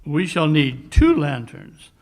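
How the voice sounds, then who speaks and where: An actor playing a courteous, precise, dryly witty English gentleman detective in a live radio mystery. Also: on the high side, huge pitch swings, slow, exaggerated voice. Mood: measured, inquiring. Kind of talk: old-time radio drama acting on stage. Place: live stage performance at a conference.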